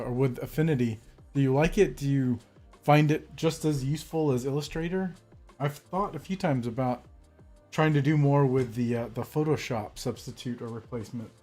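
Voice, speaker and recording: A man's voice: mid-range voice, darkish tone. Congested man chatting on a live stream. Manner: friendly, greets viewers by name, rambling with fillers. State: slightly congested from a cold. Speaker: calligraphy artist hosting a live stream. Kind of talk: casual live-stream chat.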